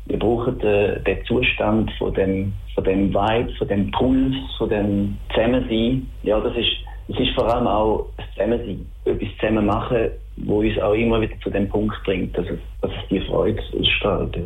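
A man's voice: on the low side, fairly even intonation, normal speed. A middle-aged man with a Schaffhausen Swiss German accent answers interview questions casually, searching for words with enthusiasm. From Schaffhausen, Switzerland. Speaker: interviewed band musician. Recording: thin phone-like sound.

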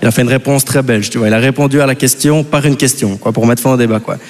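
A man from Belgium with a Belgian French accent doing a live stand-up routine. He rambles casually and keeps turning to the audience with quick asides. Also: medium pitch, fairly even intonation. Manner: rambling and chatty, full of "tu vois" and "les gars" asides to the audience. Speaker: stand-up comedian. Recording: long hall reverb from a live stage.

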